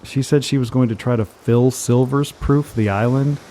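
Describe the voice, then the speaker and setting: A man's voice: medium pitch, fairly even intonation, moderate pace, dark tone, slight background noise, close to an everyday voice. An actor playing an easygoing, blissed-out male agent stranded on an island in an audio drama. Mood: calm, easygoing. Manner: easygoing, serene.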